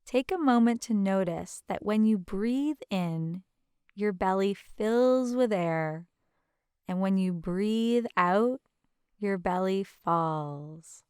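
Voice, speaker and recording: A woman leading a guided meditation as instructor, with a gentle, soothing, unhurried manner. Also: medium pitch, lively intonation, clear, resonant tone, no room sound, studio quality.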